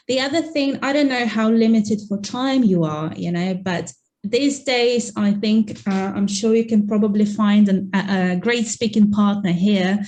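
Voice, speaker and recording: A woman's voice: medium pitch, darkish tone. A woman giving friendly, conversational language-learning advice in an online webinar, with plenty of "you know" fillers, heard over a video call. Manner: chatty, encouraging, uses many fillers like "you know". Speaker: guest teacher in a webinar.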